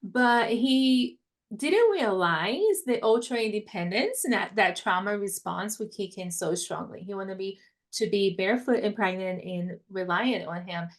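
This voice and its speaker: Brazilian-accented woman coach, animated and laughing, telling her story as an interview guest. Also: mid-range voice, a little slow. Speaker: money mindset coach. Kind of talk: podcast interview, personal story.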